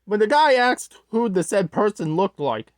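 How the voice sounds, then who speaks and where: A storyteller narrating a creepy tale in a halting way, often stumbling and rewording. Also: medium pitch, sing-song, no room sound. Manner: halting, corrects own wording mid-sentence. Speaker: host, storyteller.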